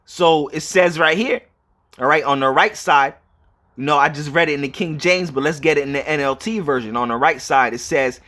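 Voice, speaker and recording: The man you would hear teaching at an informal street-corner Bible study, high, sharp voice, hammering each verse home again and again. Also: normal speed. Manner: emphatic and repetitive, punctuated with check-in questions. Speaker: preacher. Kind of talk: informal sermon with scripture reading and commentary.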